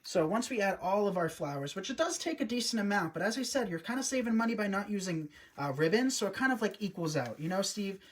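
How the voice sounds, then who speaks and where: A man's voice: sits high, very animated, quick pace, few pauses, slightly rough. A man hosting a live wreath-making stream, chatty and upbeat, often talking to his viewers as he works. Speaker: host, wreath designer. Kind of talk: live craft demonstration.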